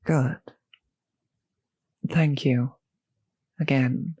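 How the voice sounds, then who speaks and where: Slow, genteel female vampire, acted by a woman. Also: a bass voice, fairly even intonation, dark tone, slightly rough, no echo, highs cut off, full character voice. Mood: flirtatious, tender. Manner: polite, formal, old-fashioned phrasing, solicitous. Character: courteous, genteel female vampire, gently seductive host. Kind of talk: intimate audio roleplay addressed to the listener.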